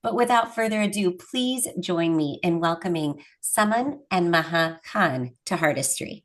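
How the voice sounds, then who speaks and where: A woman's voice: on the low side, expressive, a little slow. Woman host, video call, effusive, upbeat interviewer. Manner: enthusiastic, effusive. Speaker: podcast host. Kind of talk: interview hosting. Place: home room.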